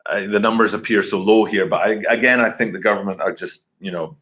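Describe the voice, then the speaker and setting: Rambling man in his forties hosting a casual live stream at his computer, voice sits low. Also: fast, fairly bright tone. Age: 40s. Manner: rambling, many fillers and restarts. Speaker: livestream host. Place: home, at a computer. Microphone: computer webcam mic.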